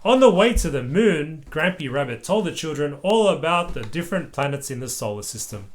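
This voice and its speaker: Parent reading a kids book out loud, super lively pitch, really animated. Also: fairly quick. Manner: animated, acts out the dialogue. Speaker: parent reading a storybook aloud to a child. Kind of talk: expressive children's story read-aloud.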